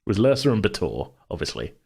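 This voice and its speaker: A man brainstorming casually as a podcast host, thinking aloud in a halting way. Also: lowish voice, big pitch swings, creaky, a little mellow, breathy edge. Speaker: tabletop role-play podcast host and game master.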